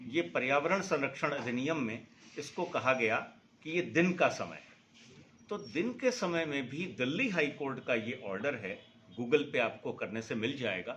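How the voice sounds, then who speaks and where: A middle-aged man explains the rules point by point, earnest and emphatic. His pitch rises and falls a lot as he leans into key points. A faint hum of room noise sits under his voice. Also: fairly bright tone. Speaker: noise pollution campaigner, foundation secretary. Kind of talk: explanatory interview answer.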